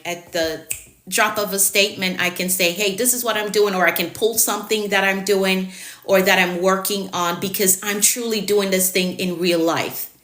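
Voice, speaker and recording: A woman's voice: medium pitch, bright, forceful tone, reverberant. A woman in her late thirties with a Jamaican accent, talking casually and directly as a coach on a live stream. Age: 30s. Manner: chatty, direct, teacherly. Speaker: productivity coach.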